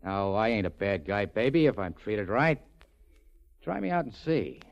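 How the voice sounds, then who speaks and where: A man's voice: on the low side, very animated, normal speed, gravelly. A man playing a sleazy, bullying but cowardly small-time tough guy, talking slangy and oily in 1940s radio drama style. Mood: oily, coaxing. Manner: slangy, oily, swaggering wise-guy talk. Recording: muffled 1940s radio recording.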